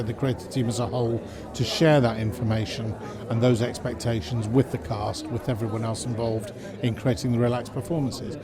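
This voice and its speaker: A man answering as an interview guest, explaining things in a measured, careful way. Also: mid-range voice. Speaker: pantomime director, interview guest. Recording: busy crowd and urban background noise. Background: crowd chatter.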